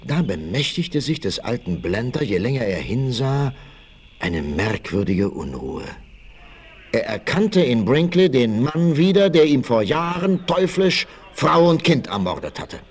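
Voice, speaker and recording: Male narrator for vintage adventure radio play: lively, widely moving pitch, suspenseful scripted delivery. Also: measured pace, a little hiss or hum. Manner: suspenseful storytelling. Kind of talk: dramatic scripted audio drama narration, 1960s.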